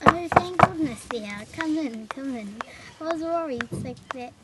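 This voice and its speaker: A woman's voice: high voice, expressive, a little slow. A woman voicing a kindly, fretful old grandmother from a fairy tale, fussing affectionately. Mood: relieved, fussing. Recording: noisy recording with clicks.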